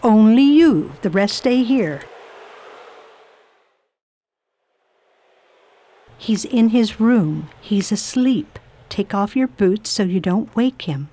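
A woman playing a brave, brisk lady traveler in a radio drama, with a commanding manner. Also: mid-range voice, animated, warm tone. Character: firm, brave lady traveler nursing a patient.

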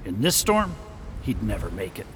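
Guy doing a careful sidekick deputy, matter-of-fact, pitch moves around a lot. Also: wildly swooping pitch, moderate pace, a little hiss or hum. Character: practical male deputy partner, cautious and level-headed. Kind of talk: amateur radio drama acting.

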